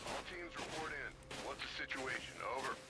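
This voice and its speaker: A man's voice: medium pitch, moderate pace, natural voice. A man playing an authoritative military squad leader in a video game, giving clipped radio orders. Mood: commanding. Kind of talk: scripted game voice acting, military radio talk. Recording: loud game music and battle sounds.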